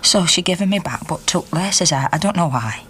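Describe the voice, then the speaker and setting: A woman's voice: on the low side, lively intonation, moderate pace, a bit bright, slight background noise. An actress playing a middle-aged Yorkshire miner's wife, an indignant activist telling her story conversationally and acting out the dialogue in a naturalistic radio drama. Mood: defiant.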